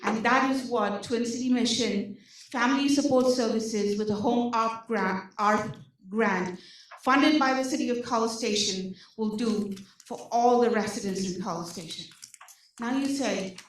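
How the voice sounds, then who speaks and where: A woman with an Indian accent gives an animated talk to a room of service providers, full of rhetorical questions and frequent "okay?" check-ins. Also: fairly high pitch, a little slow, a little hiss or hum. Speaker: nonprofit program presenter. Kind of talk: energetic public talk to an audience. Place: large meeting room. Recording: echoey hall, speaker far from mic.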